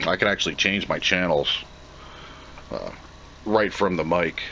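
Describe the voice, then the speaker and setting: A man's voice: low voice, unhurried, creaky, sharp tone, slight background noise, rough recording. A plainspoken man giving an off-the-cuff, casual commentary as he reviews and unboxes a product.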